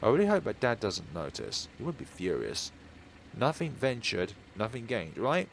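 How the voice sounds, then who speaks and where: Low-voiced man reading with lively pitch. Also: moderate pace, gravelly, slight background noise. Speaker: game player reading a visual novel aloud. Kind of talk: narration read aloud with casual side remarks.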